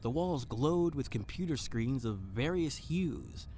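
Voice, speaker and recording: A man playing a theatrical, flirtatious detective who narrates his own case in an audio drama, in a deliberate noir manner. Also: mid-range voice, big pitch swings, mellow, slight background noise. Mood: narrating.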